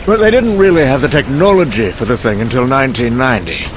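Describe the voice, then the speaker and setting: An actor playing a cynical, boozy British scientist delivering a sardonic, erudite monologue in an audio comic. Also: male voice, mid-range voice, expressive, quick pace, a little hiss or hum, close to an everyday voice. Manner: sardonic, erudite, with sudden bursts of temper.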